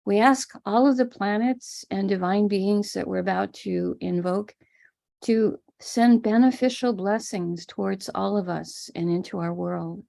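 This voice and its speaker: A woman with an American accent, gently explaining and guiding a live online ritual as a spiritual teacher. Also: mid-range voice, fairly even intonation, measured pace, gravelly. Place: home room in Florida.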